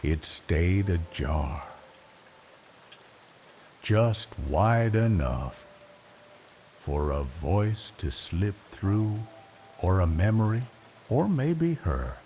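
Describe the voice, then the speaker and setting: Very slow, deep older male storyteller. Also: sing-song. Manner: reflective, wistful, with long pauses. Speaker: bedtime story narrator. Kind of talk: slow, hushed scripted bedtime storytelling. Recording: rain noise behind the voice. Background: soft rain ambience.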